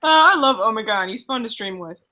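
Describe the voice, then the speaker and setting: A woman's voice: fairly high pitch, moderate pace. A woman from the American Midwest with a Midwestern accent, chatting and joking casually in a group call. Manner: animated, joking. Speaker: streamer.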